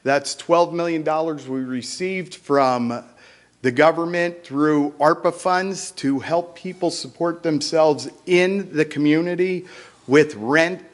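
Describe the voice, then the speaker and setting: Loud, blunt county administrator man. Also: fairly high pitch, slow pace. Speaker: county behavioral health administrator. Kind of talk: public meeting report. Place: county public meeting. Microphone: speaking away from the microphone.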